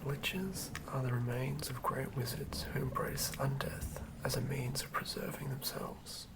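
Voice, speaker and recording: An ASMR host speaking in a soft, breathy whisper, gently and quietly sharing tabletop fantasy material. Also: mid-range voice, steady tone, unhurried, poor audio. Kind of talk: whispered ASMR, chatting and reading aloud from a game manual.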